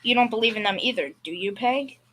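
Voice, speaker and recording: Young female performer as cowardly blustering father, folksy slang, bright edgy tone, old-time radio comedy. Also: a little high, lively intonation, quick pace, breathy edge. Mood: uneasy. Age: young teen or child. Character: blustering, secretly scared father in radio sitcom.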